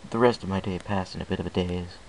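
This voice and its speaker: A man narrates fan fiction in the first person. His voice is fairly low with a gravelly creak. The homemade recording carries some noise. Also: steady tone, fast talker, rough recording.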